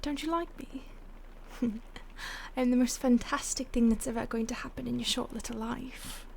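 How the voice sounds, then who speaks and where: Seductive vampire stalker played by a woman, higher register, breathy and whispery, condescending playful menace, intimate audio drama, faint noise behind. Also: fairly even intonation, normal speed, a little mellow. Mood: haughty amusement. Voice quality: breathy, often dropping to a whisper. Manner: teasing, haughty, theatrical. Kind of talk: intimate audio roleplay addressed to the listener.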